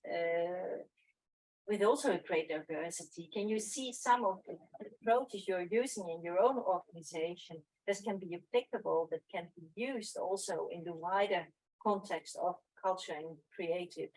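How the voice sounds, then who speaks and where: A woman moderating a panel discussion, calmly posing long questions to the panelists. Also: mid-range voice, low-quality recording.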